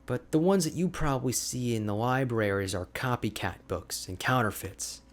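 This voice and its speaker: A man playing an energetic, boastful, chatty ice mage hermit in an ASMR roleplay, with a playful, expressive, conversational delivery. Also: medium pitch, moderate pace. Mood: chatty, opinionated. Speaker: audio roleplay voice actor.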